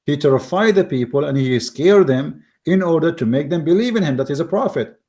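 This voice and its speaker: A man with an Arabic accent, his voice sitting a little high for a man, speaks in the mocking, emphatic tone of an online commentator. He hammers points by repeating words and often stumbles and restarts his non-native English. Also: lively intonation, a little fast, mellow. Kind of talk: argumentative commentary reading from an article.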